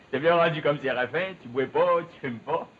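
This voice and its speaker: A man's voice: on the high side, moderate pace, close to an everyday voice. A male actor playing a brawny, bold, sociable backwoods log driver in a 1950s television drama, with a Quebec French accent and colloquial, challenging rural speech. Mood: hearty, teasing. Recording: muffled, noisy old television soundtrack.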